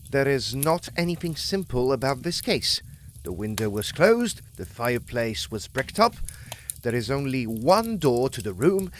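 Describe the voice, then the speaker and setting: An agitated gentleman Egyptologist in a gothic audio drama. His mid-pitched voice leaps dramatically up and down as he stresses his formal, old-fashioned phrases. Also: a little hiss or hum.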